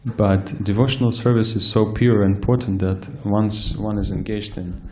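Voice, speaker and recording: A man giving a scripture lecture, soft-spoken and hesitant, with frequent fillers and restarts. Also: on the low side, flat delivery, normal speed. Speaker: speaker giving a scripture class. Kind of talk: sermon-style lecture. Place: temple hall with live audience.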